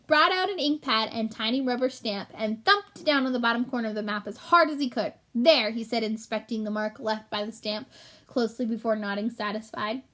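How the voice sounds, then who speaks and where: A woman's voice: a little high, fairly quick, sharp tone. A woman storyteller reading a children's book aloud expressively and in an explanatory way for young listeners.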